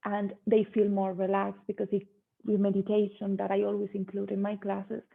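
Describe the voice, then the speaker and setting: Casting: woman, Spanish accent, enthusiastic talkative interview guest, gravelly fry at phrase ends, thin telephone-like call audio. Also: mid-range voice, completely flat delivery, normal speed. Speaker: guest, yoga instructor. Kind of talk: conversational interview.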